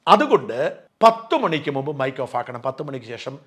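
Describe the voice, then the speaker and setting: A man's voice: mid-range voice, sing-song, fast talker, fairly bright tone. A middle-aged man from Kerala hosting an online news channel, giving emphatic, argumentative opinion commentary drawn from his own experience.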